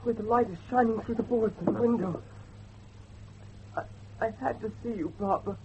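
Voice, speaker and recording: A man plays a frightened, confused young son in a horror audio drama. He pleads haltingly and sounds distraught. The recording is lo-fi and slightly noisy. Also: high-pitched. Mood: pleading, ashamed. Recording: dull lo-fi recording with faint noise.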